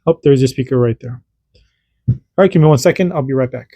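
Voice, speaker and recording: A man's voice: medium pitch, fast talker, warm tone, breathy edge, dry recording. A man hosting a casual radio hobby tutorial, chatty and repetitive, doing radio checks as he demonstrates. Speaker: radio hobby channel host.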